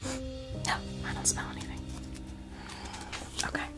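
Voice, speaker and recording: A woman chatting in a breathy, creaky whisper for an ASMR unboxing video, rambling hesitantly through little asides as the host. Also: slow. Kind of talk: ASMR, soft rambling chat. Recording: music playing behind the voice. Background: clear background music.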